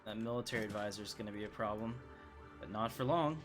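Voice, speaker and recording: A man's voice: on the low side, fast, over music. A man giving casual, informal gameplay commentary, thinking aloud as he plays. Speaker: gaming streamer.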